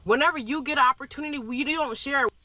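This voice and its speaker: Bright-voiced emphatic Somali woman. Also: high-pitched, rapid-fire, no echo. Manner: emphatic, insistent, repeats points for stress. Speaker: video commentator. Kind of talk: impassioned monologue.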